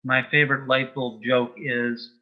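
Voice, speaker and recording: A man speaking as an interview guest in casual chat, telling jokes and anecdotes in a dry, measured way. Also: medium pitch, level tone, narrow, tinny audio.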